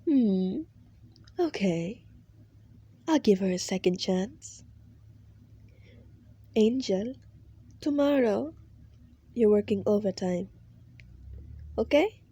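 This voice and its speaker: A woman voicing a cruel, hot-tempered male boss who shouts and swears. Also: medium pitch, lively intonation, slow, pauses often, breathy edge. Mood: menacing.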